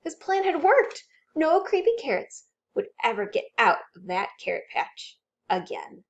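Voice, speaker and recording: Slow, very animated woman reading a children's story. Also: a little high, highs cut off. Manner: expressive, dramatic with gasps and hushed suspense.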